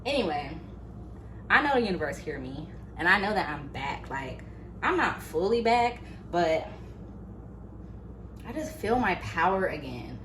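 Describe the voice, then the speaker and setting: Animated woman vlogging in an echoey room. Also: lowish voice, unhurried, long pauses, a little hiss or hum. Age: late 20s. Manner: animated, chatty, many fillers. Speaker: YouTuber. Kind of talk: casual motivational vlog. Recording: echoey room, faint ambience.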